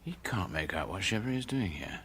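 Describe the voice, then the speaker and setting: An actor playing a loyal police sergeant, terse and deferential, in a radio drama. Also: male voice, low-pitched, very animated, fairly quick, very noisy. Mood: quietly watchful. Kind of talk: British radio drama acting.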